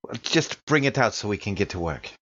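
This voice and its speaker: A man's voice: fairly low pitch, big pitch swings, a little fast, bright, forceful tone, muffled recording, heavily acted. A man playing a brusque, exasperated woman scientist, terse and matter-of-fact, in a tabletop roleplay. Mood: impatient. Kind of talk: improvised tabletop roleplay acting.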